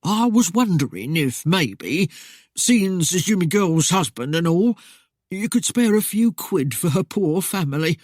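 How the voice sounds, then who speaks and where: A man's voice: sits high, sing-song, a little slow, darkish tone, no echo, clean studio sound, heavily acted. A man putting on a blustering greedy mayor. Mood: wheedling. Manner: whining, wheedling, coarse dialect speech. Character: blustering, greedy, cowardly middle-aged male mayor. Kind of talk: audiobook character voice.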